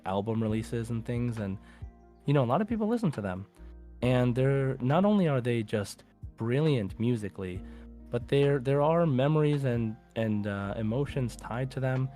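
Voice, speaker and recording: A man's voice: medium pitch, mellow. A man hosting a podcast, chatting informally and conversationally about a video game. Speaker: podcast host.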